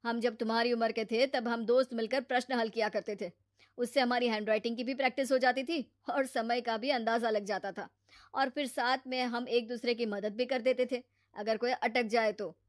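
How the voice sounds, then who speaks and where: A woman's voice: a little high, fairly even intonation, normal speed, no echo, studio quality, close to an everyday voice. A woman voicing a sensible, patient married man who gives earnest advice in a moral story. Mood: concerned, advising. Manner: earnest, advising. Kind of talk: animated moral story character acting.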